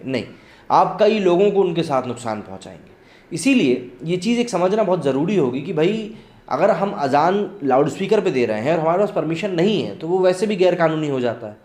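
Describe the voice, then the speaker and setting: A man's voice: a little high, a little mellow. A man presenting news with his own commentary, explaining things earnestly and directly to viewers. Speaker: host of a news commentary channel. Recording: echoey, reverberant room.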